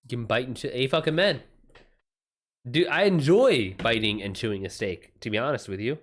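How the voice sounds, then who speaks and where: A man's voice: mid-range voice, expressive, normal speed, fairly bright tone. A man chatting casually as a live streamer, in a slangy, profane and emphatic way. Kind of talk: casual livestream chat.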